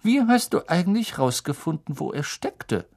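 Casting: man as reasonable storybook teacher, mild, measured, slightly creaky and raised voice. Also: on the high side, very animated, darkish tone, voice pushed up, heavily acted. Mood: calm, pensive. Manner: thoughtful, measured.

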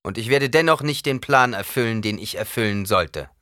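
Man playing a young ruler, cold and bossy, voice swings way up, loud and bright. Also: mid-range voice, lively intonation, normal speed, dry recording, studio quality, pitched above the performer's own voice, close to an everyday voice. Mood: declaiming. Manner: forceful and declamatory, rising to shouts. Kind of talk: audiobook character voice.

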